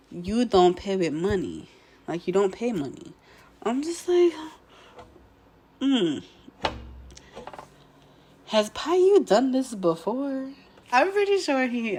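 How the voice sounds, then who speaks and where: A young woman, hoarse and a bit nasal while recovering from illness, casually recapping a show episode in a laid-back way, clearing her throat now and then. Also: medium pitch, sing-song, slow pace, pauses often, warm tone. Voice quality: slightly nasal. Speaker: show commentator.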